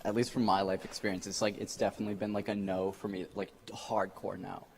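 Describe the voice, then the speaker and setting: A man's voice: low-pitched, level tone, fast talker, creaky, darkish tone. Young man from Maryland, lots of "like". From Maryland, USA. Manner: fills speech with "like", loose rambling phrasing. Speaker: interviewee. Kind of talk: candid personal account.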